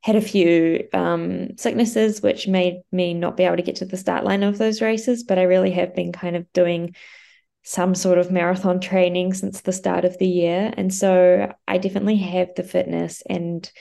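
A woman's voice: on the low side, level tone, normal speed, creaky, warm tone. A young Australian woman co-hosting a podcast, talking in a chatty way with fillers, her nose blocked up from a cold. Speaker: podcast co-host. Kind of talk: casual podcast chat.

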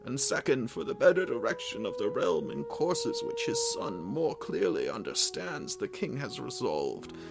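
A man voicing an aging, sorrowful king who speaks softly and gravely, pitched higher than his own voice. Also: sing-song, measured pace, hardly any pauses, music in the background, heavily acted.

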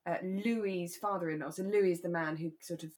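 A woman with a British accent co-hosting a relaxed recap chat, retelling things in an expressive, conversational way. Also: lowish voice, normal speed. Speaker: podcast co-host.

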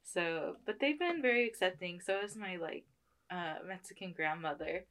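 A woman's voice: fairly low pitch, expressive, normal speed, fairly bright tone. A young woman talking casually about personal matters as a podcast guest, informal and slangy, often acting out short quotes. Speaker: podcast guest, staff member.

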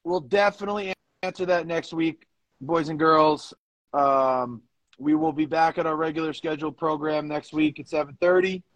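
A man co-hosting a casual sports podcast, talking in a halting way, circling back over phrases and swearing casually. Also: fairly high pitch, no room sound, rough recording. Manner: halting, repeats phrases as he works out a point. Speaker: podcast co-host; competitive powerlifter.

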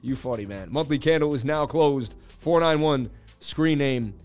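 Guy streaming crypto charts, voice going hoarse, hyped and braggy, mid pitch, light music behind. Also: normal speed, a little mellow. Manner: hyped, boastful, laughing banter with chat. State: losing his voice. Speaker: crypto livestream host. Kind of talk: casual livestream market commentary.

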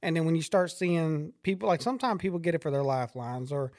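A woman in her thirties with a somewhat low voice, hosting a relaxed podcast. She is chatty and teasing, and keeps asking her friend questions. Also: fast. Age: 30s. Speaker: podcast host. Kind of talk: casual podcast chat.

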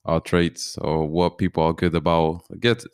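Chill guy in his 20s, really deep smooth voice, short comments, dry sound. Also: flat delivery, measured pace, no echo. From Portugal. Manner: laid-back, brief remarks, laughs easily. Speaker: podcast co-host. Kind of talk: casual chat.

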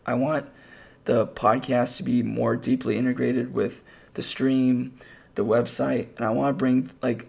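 A man with a hoarse, raspy voice, hosting a podcast and chatting casually on his own, rambling with lots of fillers and restarts. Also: medium pitch, a little mellow. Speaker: podcast host. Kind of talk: casual solo podcast talk.

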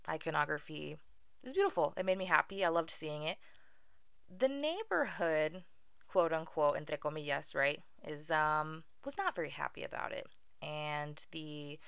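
A woman's voice: low voice, creaky, fairly bright tone, no echo. A young adult woman from Venezuela hosting her own podcast, talking casually in a wry, self-deprecating way with frequent ums. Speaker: podcast host. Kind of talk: casual solo podcast monologue.

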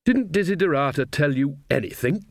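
A man's voice: a little high, animated, a little fast, no echo, studio quality. A man voicing an earthy old woman, a practical, wry witch who talks bluntly and colloquially in an audiobook. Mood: unsure, coaxing. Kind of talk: audiobook character voice.

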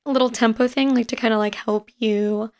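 A woman in her twenties with a raspy voice, hosting a casual podcast and rambling quickly through personal stories. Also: fairly high pitch, steady tone, darkish tone. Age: 20s. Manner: fast-talking and rambling. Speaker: podcast host. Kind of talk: casual chat. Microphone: home recording setup.

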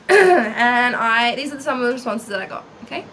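A woman's voice: fairly high pitch, expressive, normal speed, fairly bright tone, a little hiss or hum, low-quality recording. A woman co-hosting a podcast in casual chat, blunt and laughing, swearing freely. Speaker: podcast co-host.

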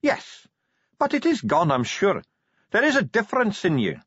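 Casting: male reader as serene, cheery dwarf cleric, pitched well above his natural voice, husky. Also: huge pitch swings, normal speed, fairly bright tone, dry recording, dull audio, exaggerated voice. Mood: cheerful, knowing. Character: cheerful old dwarf cleric, knowing and mild.

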